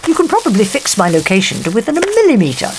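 An actress playing a headstrong, curious professor in a science fiction audio drama, haughty and wry in manner. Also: female voice, on the high side, wildly swooping pitch, fast, background noise. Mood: indignant, wry. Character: headstrong, curious woman professor exploring an alien planet.

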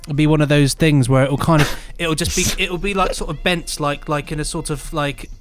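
A man's voice: on the high side, steady tone, moderate pace, soft background music. A man co-hosting a podcast, joking around in casual chat and reading listener emails aloud. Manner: playful, rambling, giggly. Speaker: podcast co-host.

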